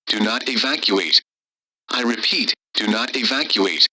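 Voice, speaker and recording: A man's voice: mid-range voice, sharp tone, rough recording. A man delivering a scripted emergency update in a stern, formal, emphatic manner. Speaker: emergency alert announcer.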